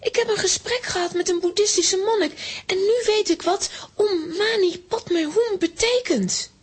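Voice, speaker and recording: A woman voicing an earnest, excitable little girl in a children's audio drama, with an emphatic, childlike delivery. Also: extremely high pitch, a little slow. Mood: elated.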